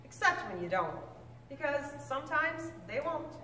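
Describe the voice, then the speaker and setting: Woman, high lively voice, storybook read-aloud, echoey room, mic far off. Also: moderate pace, a little hiss or hum. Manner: expressive storybook reading with big pitch swings; stumbles and corrects herself. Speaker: reader. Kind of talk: reading a picture book aloud.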